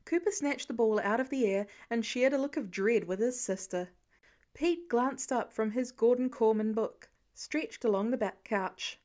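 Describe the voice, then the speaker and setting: High-voiced woman narrating fiction. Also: moderate pace, a little mellow. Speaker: audiobook narrator.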